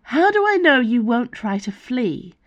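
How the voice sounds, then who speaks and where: A woman's voice: fairly high pitch, very animated, unhurried, no room sound, highs cut off, voice pushed up. A woman voicing a cool, commanding female leader who asks the questions, dry and authoritative.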